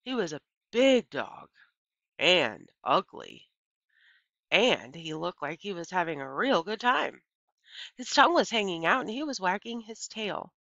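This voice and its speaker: A middle-aged woman reading a children's novel aloud in an animated, deliberate storytelling manner, like a teacher. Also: lowish voice, gravelly, dry recording, lo-fi sound.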